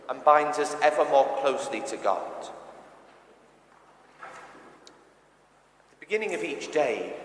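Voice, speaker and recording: A man's voice: fairly high pitch, a little hiss or hum. Echoey church sermon from a male preacher. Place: large reverberant church interior. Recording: echoey church with long reverb.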